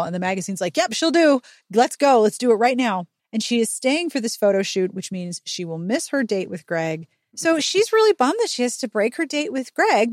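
A woman's voice: medium pitch, no echo, studio quality. A woman hosting a podcast, recapping a book conversationally with wry, mock-incredulous asides. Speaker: podcast host.

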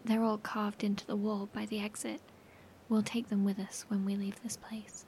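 A woman playing a weary, sombre bunker leader speaking in a hushed, breathy, measured way in a horror audio drama. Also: mid-range voice, level tone, slight background noise, close to an everyday voice. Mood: mournful.

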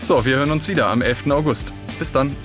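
A man hosts a podcast in a casual, bantering style. His voice has an ordinary middle pitch. Music is clearly playing behind him. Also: fast talker. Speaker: podcast host.

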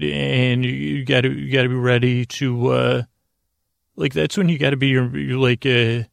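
A man hosting a sleep podcast, rambling off the cuff in a halting, meandering way with stammers and repeated words. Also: mid-range voice, dry recording, studio quality. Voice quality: creaky, gravelly. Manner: deliberately slowed-down delivery. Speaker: podcast host.

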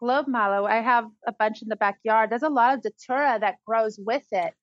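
A woman's voice: fairly high pitch, fairly quick, dry recording, dull audio. A woman talking casually and eagerly, rambling with frequent restarts. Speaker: herbalist guest. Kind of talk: casual conversation.

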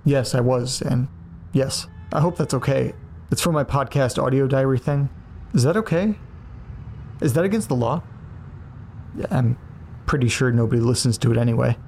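A man's voice: mid-range voice, moderate pace, pauses often, darkish tone, lightly acted. A man playing an earnest, anxious amateur podcaster in naturalistic, hesitant audio drama dialogue. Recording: faint car noise. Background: car interior ambience.

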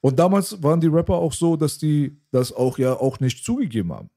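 A man's voice: on the high side, sing-song, fairly quick, vocal fry, dark tone. A man in a casual chat about rap, talking in loose slang and rambling on, tossing in "bro" a lot. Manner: slangy, rambling, says "Digga" and "Bruder" often.

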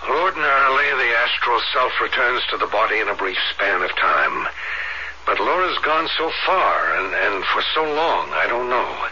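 A man playing an earnest psychiatrist in a radio drama, explaining his uncanny theories gravely and urgently. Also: medium pitch, big pitch swings, normal speed, sharp tone. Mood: grave, candid. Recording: dull, noisy old radio broadcast.

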